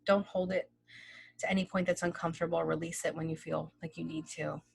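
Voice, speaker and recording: A woman leading a guided breathing meditation, speaking slowly and gently with long pauses and casual fillers. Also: low voice, level tone, creaky, husky. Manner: slow, gentle, soothing instructions with long pauses. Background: soft background music.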